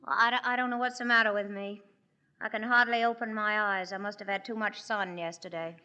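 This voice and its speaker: A woman playing a spirited, proud society bride with quick, sharp retorts, in 1940s radio acting style. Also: mid-range voice, fairly even intonation, close to an everyday voice. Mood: puzzled. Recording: dull vintage radio broadcast with faint hiss.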